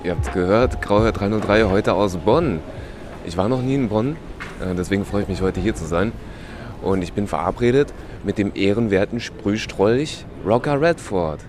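Guy with a low voice, bit stuffed up from a cold, laid back podcast chatter. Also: moderate pace, background noise. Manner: relaxed, chatty, with slang and fillers. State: has a cold. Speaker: podcast host. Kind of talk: casual podcast chat.

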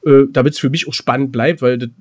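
A man's voice: mid-range voice, steady tone, quick pace, a little mellow. A man in casual podcast chat, rambling and self-deprecating, with coarse slang. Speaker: podcast co-host. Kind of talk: casual chat, recounting his weekend.

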